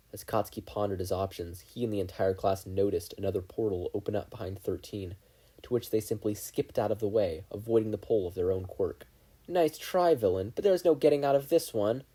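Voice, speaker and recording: A man reading a story aloud as scripted narration, with an expressive storytelling manner. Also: lowish voice, brisk, slightly rough. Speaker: fan fiction podfic reader.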